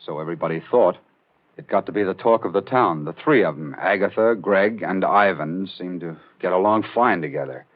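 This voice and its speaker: Brief: wry, knowing narrator for 1940s mystery drama. Deep, measured, with a tinny vintage radio sound. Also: male voice. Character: knowing male storyteller recounting a local murder case. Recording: thin, phone-like 1940s radio recording.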